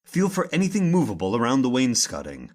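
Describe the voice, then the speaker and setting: A man playing an urgent, commanding gentleman master in an old-time radio horror drama. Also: medium pitch, wildly swooping pitch, fairly quick. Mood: determined. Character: urgent, commanding gentleman trapped with his servant.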